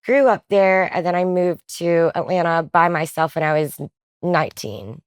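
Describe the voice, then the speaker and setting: A young woman from the Philippines talking as an interview guest, her voice sitting on the low side for a woman. She speaks in a relaxed, chatty way, full of little fillers, and laughs easily. The sound is close and dry. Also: normal speed, smooth, no echo. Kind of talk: casual interview chat.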